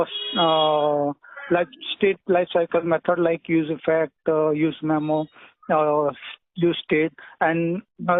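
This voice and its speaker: A man with an Indian accent answering technical interview questions over the phone, hesitant and halting, often clearing his throat. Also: a little high. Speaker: job candidate being interviewed. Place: phone call. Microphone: telephone. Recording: tinny narrowband telephone line.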